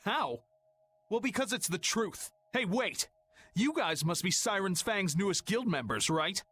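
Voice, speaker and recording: An actor playing a mocking, bullying guild thug in an anime video game dub. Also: male voice, high-pitched, animated, unhurried, a bit bright, slight background noise. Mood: mocking, contemptuous. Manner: mocking, goading. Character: sneering, bullying male guild thug taunting a girl. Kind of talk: English-dubbed anime video game acting.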